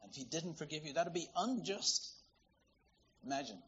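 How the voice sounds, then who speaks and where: A man from Ireland, preaching a sermon in a lively, conversational, storytelling way. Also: on the high side, normal speed, husky, dull audio. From Northern Ireland.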